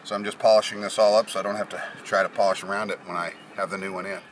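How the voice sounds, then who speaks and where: A man's voice: sits low, flat delivery, racing, over loud music. A middle-aged man giving a casual step-by-step how-to on his sailboat, plainly explaining with fillers, recorded on a camera mic. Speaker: sailing vlogger. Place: on a sailboat deck, outdoors and in the cabin.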